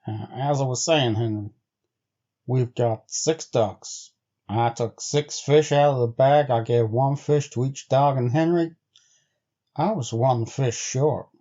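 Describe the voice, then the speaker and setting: A man voicing an uneasy, insistent sled-dog driver in a storybook, speaking gravely and deliberately in rustic, old-time phrases. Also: mid-range voice, dark tone, dull audio. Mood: grave insistence. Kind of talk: storybook character voice.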